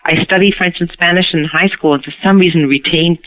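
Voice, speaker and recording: A middle-aged woman from Canada whose first language was Hungarian, speaking as an interview guest in a lively, chatty way. She laughs easily and often stumbles over her opening words. Her voice comes through a thin, narrow phone line. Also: fairly low pitch, a little fast. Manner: lively, laughing, repeats words when starting sentences. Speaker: guest actress. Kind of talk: casual interview chat.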